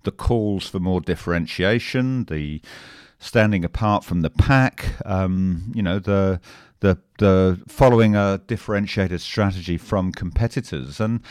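A man hosting a podcast, measured, with fillers and repeated words. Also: low voice, darkish tone, clean tone, dry recording, clean studio sound. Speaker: podcast host. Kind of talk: podcast interview and commentary.

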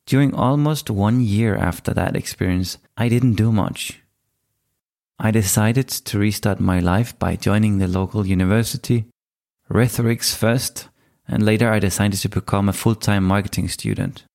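A man in his forties with a Danish accent, hosting his own podcast and telling his life story in a calm, measured monologue. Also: lowish voice, creaky. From Denmark. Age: 40s. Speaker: podcast host. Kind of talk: scripted solo podcast monologue.

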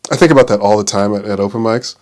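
A man in his late twenties with a fairly low voice hosts a loose solo podcast. He rambles and cuts himself off mid-thought. He swears freely and keeps laughing at his own remarks. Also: rapid-fire, darkish tone. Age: 20s. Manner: profane, self-interrupting, laughs often. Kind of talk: casual rambling monologue.

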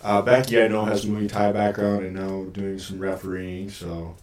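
A relaxed male podcast host chats with guests and throws in slangy approval. His voice sits on the low side. He sounds a little distant from the mic, with the room echoing around him. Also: moderate pace. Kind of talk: casual interview. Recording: echoey room, voice a bit off-mic.

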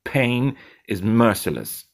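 A man's voice: mid-range voice, very animated, takes it slowly, gravelly, heavily acted. A man voicing a slick, blunt male bartender who talks in a teasing, lecturing way.

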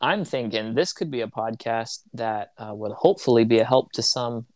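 A man's voice: medium pitch, normal speed, darkish tone, dry recording. A young man who grew up in Tanzania, hosting a casual podcast chat, rambling and acting out little conversations. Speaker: podcast host.

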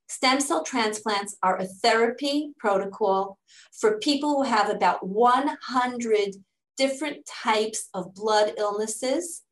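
A woman's voice: a little high, reverberant, poor audio. A middle-aged woman, a native English speaker living in Israel, explaining her charity's work in an interview with earnest, step-by-step answers and frequent restarts. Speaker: charity fundraising director.

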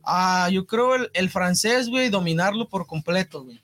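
Man, Mexican accent, fairly high voice, podcast co-host, casual, slangy, filler-heavy. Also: expressive, normal speed, a bit bright.

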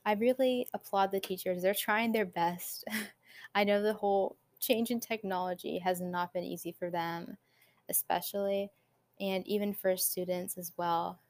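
A young woman chats casually on her own podcast, upbeat and a little rambling, slipping in quick asides. Her voice is naturally quiet, and her pitch sits in a middle range. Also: fairly even intonation. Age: young adult. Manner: chatty, upbeat, quick asides with light laughs. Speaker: podcast host, college student. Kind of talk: casual solo podcast chat.

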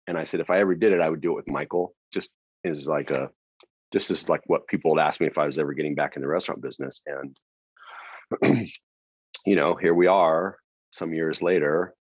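A middle-aged man, a nightlife entrepreneur, telling his career story in a casual interview, with many fillers and throat clearing. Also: a bass voice, steady tone, fairly quick, no echo. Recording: dry, dull lo-fi audio.

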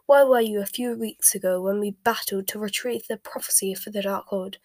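A woman reading the lines of a fierce, fanatical female witch, loud and snarling, with a breathy voice. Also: mid-range voice, fairly even intonation, normal speed, no room sound, close to an everyday voice. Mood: furious.

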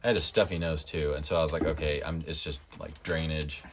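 A young man casually telling a story as a podcast guest, relaxed and rambling with lots of filler. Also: rumbling low, quick pace, slight background noise.

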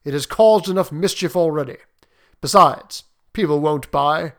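A man voicing a grave, world-weary middle-aged sergeant major who speaks solemnly and gruffly in a storybook reading. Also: a little high, normal speed, a bit bright. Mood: hesitant, weary.

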